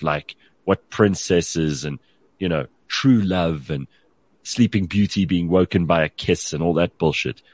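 A man's voice: low-pitched, no echo. A man hosting a talk show, giving blunt opinions and putting questions in a conversational way.

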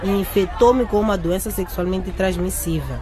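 A woman's voice: medium pitch, moderate pace, crowd noise, background noise, close to an everyday voice. A woman playing a worldly, cynical, bossy teenage schoolgirl and best friend in a radio drama. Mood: bitter, confiding.

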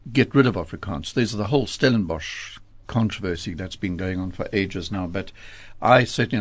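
A man's voice: lowish voice, gravelly, dry recording. A man hosting an interview, affable and conversational. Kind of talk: radio interview.

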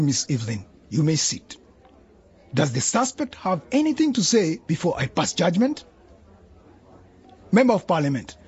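An actor playing a stern, formal male judge with a husky voice in a radio drama. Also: high-pitched, highs cut off, exaggerated voice. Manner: formal, commanding. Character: stern male judge presiding over a corruption trial.

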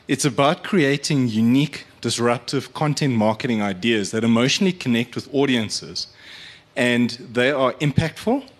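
A man giving an informal, earnest, explanatory presentation to an audience. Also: medium pitch. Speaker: media agency speaker. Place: live event venue.

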